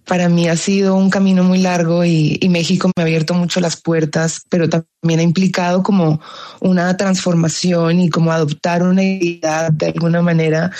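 A woman's voice: on the low side, mellow. A young adult woman from Colombia with a paisa accent, answering interview questions thoughtfully with many fillers and restarts. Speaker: actress guest.

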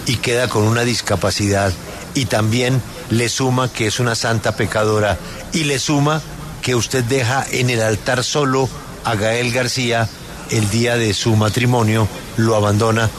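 A male radio host asking a guest probing, playful questions. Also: medium pitch. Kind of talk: interview questions. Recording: clear background noise.

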